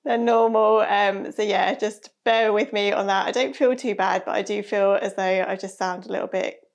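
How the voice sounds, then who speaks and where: Slightly hoarse woman with a gravelly edge. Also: medium pitch, steady tone, normal speed. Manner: chatty, friendly, with soft laughs. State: slightly hoarse and nasal from a cold. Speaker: sewing vlogger. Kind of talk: casual chat to camera. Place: bright room with a sewing machine and shelves. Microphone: lapel mic.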